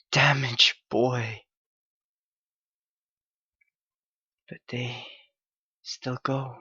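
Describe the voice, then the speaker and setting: A man playing a clingy, heartbroken young yandere lover in an intimate ASMR roleplay, halting and pleading in his anguish. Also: mid-range voice, sharp tone, slightly rough, no echo, muffled recording, exaggerated voice.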